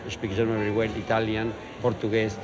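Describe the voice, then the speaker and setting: A man's voice: on the low side, monotone, quick pace. An older man, a veteran waiter, chatting in broken English and repeating his words emphatically. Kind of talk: casual chat over drinks. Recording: music playing underneath.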